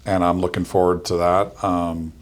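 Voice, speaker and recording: A man in his fifties with a very low voice, talking loosely and rambling with plenty of ums. He sounds at ease as a guest on a casual chat show. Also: flat delivery, normal speed. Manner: easygoing, rambling, frequent ums. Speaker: insurance agency owner, guest. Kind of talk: casual podcast interview.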